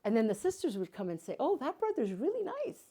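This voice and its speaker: A woman's voice: a little high, very animated, quick pace, mellow, breathy edge. An older woman from Canada, a guest speaker and matchmaker, talking casually to a live audience and telling stories with playful asides. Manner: chatty storytelling with playful asides.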